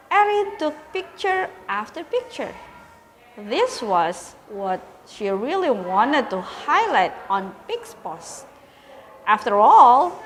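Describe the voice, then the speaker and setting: A woman with an Indonesian accent reading a children's story aloud with deliberate, dramatic emphasis, as host of a school program. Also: on the high side, fairly bright tone, a little hiss or hum.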